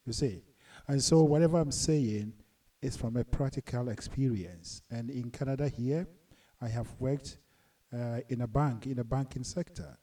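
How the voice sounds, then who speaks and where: A middle-aged Ghanaian man lectures his viewers in a deliberate, earnest way, pressing hard on his key points. His voice is very dark and mellow, and he sits a bit back from the microphone. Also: mid-range voice, recorded from a distance. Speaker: channel host and commentator. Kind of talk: lecturing monologue.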